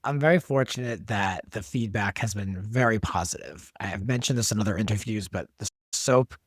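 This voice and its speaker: A man's voice: on the low side, brisk, breathy edge, dry recording, studio quality. A man chatting casually in an interview as the creator of a show, telling things emphatically in short, broken phrases. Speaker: show creator and director, guest.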